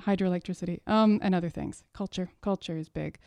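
A woman's voice: on the low side, fairly quick, gravelly, no echo, highs cut off. A woman in her thirties from Quebec, chatting casually as an interview guest, laughing often and acting out quotes. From Quebec, Canada. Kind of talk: casual conversation.

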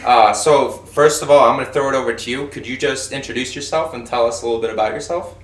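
A man's voice: mid-range voice, fast, slight background noise. Young male podcast host, Swedish native speaker, upbeat and jokey, roomy distant sound. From Sweden. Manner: upbeat, jokey, many fillers. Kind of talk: casual podcast chat. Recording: echoey room, mic at a distance.

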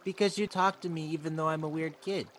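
A man's voice: on the high side, fairly even intonation, fast, fairly bright tone, slight background noise, natural voice. A man playing an odd, blunt young boy in a comedy radio play, talking earnestly and blurting things out.

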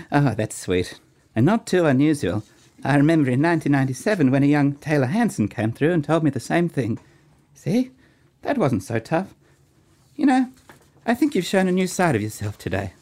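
A man's voice: on the high side, big pitch swings, moderate pace, pauses often, darkish tone. Man putting on a coaxing motherly older woman, swooping voice. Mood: flirty, tender. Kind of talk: radio play acting.

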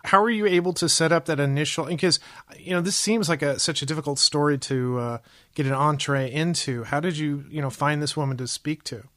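A man's voice: a little high, a little fast, a bit bright, no room sound, clean studio sound. A man hosting a journalism podcast, asking rambling, hesitant questions full of fillers. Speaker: podcast host. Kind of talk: podcast interview.

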